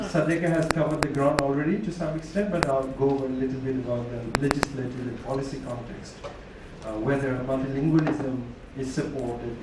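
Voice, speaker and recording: Bangladeshi guy, first language tripura, gives a panel talk, thoughtful, restarts a lot, faint hum behind. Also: medium pitch. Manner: formal, thoughtful, with frequent restarts. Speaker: panel speaker, university lecturer. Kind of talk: conference talk. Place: large conference hall. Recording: distant echoey hall recording.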